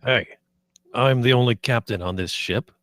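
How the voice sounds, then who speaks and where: A man's voice: mid-range voice, moderate pace, a bit bright, no echo, studio quality, close to an everyday voice. A man playing a grumpy, exasperated veteran spaceship captain in a comedy table read. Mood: commanding. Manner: exasperated, sighing.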